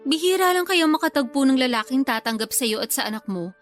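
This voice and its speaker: High-voiced Filipina in a secret affair. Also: female voice, expressive, brisk, soft background music. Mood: candid, defensive. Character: teasing, guilty woman having a secret affair. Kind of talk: Tagalog radio love-story drama acting.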